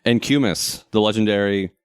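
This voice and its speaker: A man co-hosting a casual, humorous chat, telling stories in a wry, animated and opinionated way. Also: fairly low pitch, moderate pace, clean tone, no echo, clean studio sound. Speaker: talk show co-host.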